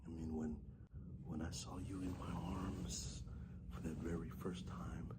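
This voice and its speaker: A man doing an ASMR fatherly roleplay, talking softly and half-whispering into a close microphone, tender, with halting restarts. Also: deep voice. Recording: steady ambient background noise.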